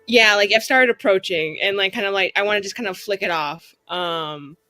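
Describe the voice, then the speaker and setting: A woman's voice: mid-range voice, moderate pace, bright, forceful tone, faint music behind. A woman talking casually as a player describing her moves in a tabletop roleplaying game.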